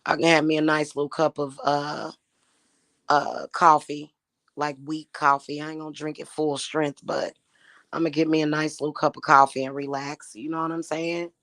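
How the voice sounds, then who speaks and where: A woman in her thirties, a spiritual YouTuber talking casually to her viewers, her voice slightly hoarse and her talk aggrieved and repetitive. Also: deep voice, level tone, normal speed, a bit bright, no room sound. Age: 30s. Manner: aggrieved and repetitive, with frequent fillers.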